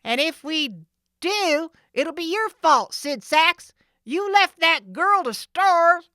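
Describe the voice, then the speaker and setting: A woman's voice: high voice, lively intonation, takes it slowly, pushed and bright, dry recording, studio quality, voice pushed up, full character voice. A woman voicing a defensive, quarrelsome male henchman who protests in a strained voice.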